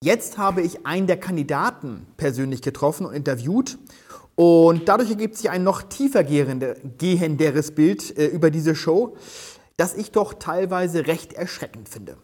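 A man hosting a TV critique show from a studio, presenting in a measured, analytical way. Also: a little high, lively intonation, a little mellow.

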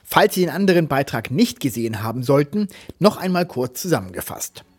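A man hosting a TV critique show from a studio, presenting in a measured, analytical way. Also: a little high, sing-song, husky.

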